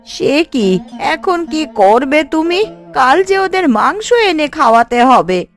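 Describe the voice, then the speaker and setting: A woman playing an old village grandmother in a cartoon folk tale, hospitable but easily worried. Her delivery swings widely in pitch, with music underneath. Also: sing-song, takes it slowly, full character voice. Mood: ashamed, anxious. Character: elderly, anxious, hospitable village mother and grandmother. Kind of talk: Bengali cartoon story acting.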